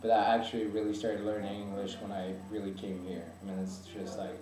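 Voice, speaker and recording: A man's voice: fairly low pitch, completely flat delivery, a little fast, a crowd in the background. A young man from France whose first language is French, answering interview questions in English with lots of fillers and restarts. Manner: hesitant, many fillers and restarts. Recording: echoey room from a distance.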